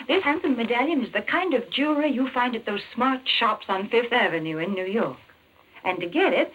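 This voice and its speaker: A woman with a fairly high, lively voice delivers friendly 1940s radio sales patter. Her intonation swings widely, and the old recording is tinny and narrow. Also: slightly rough. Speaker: radio commercial spokeswoman. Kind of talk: 1940s radio advertising pitch.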